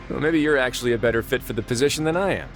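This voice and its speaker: An actor voicing a wry, self-assured duke in a video game, speaking in a measured way over music. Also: male voice, medium pitch, close to an everyday voice. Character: composed, shrewd duke running a prison, wry and fair. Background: soft game music.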